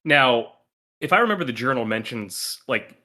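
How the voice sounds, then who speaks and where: A man's voice: mid-range voice, big pitch swings, normal speed, sharp tone. A man talking casually and slangily as a player in a tabletop game. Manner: informal, slangy, swears casually. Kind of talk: casual chat.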